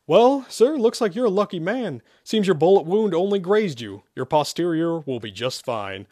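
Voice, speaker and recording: A man voicing a brisk, reassuring woman working as a hospital nurse in a story, matter-of-fact in manner. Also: high-pitched, sing-song, darkish tone, dry recording, studio quality, pitched above the performer's own voice.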